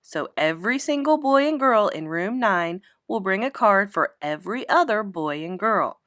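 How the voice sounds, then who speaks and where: Casting: woman as storybook kindergarten teacher, patient yet exasperated, firm emphatic explanations, lively intonation. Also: mid-range voice, moderate pace, no room sound, studio quality, natural voice.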